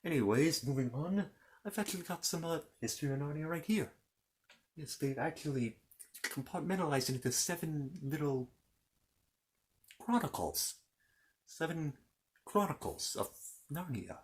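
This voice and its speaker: A man's voice: a little high, lively intonation, moderate pace, pauses often, natural voice. A man playing a fussy, courteous storybook keeper of histories in an ASMR roleplay, hesitant and rambling as he tells his tale. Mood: fussy, musing. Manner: hesitant, rambling, apologetic, repeats himself. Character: fussy, courteous Narnian bookkeeper recounting his world's history.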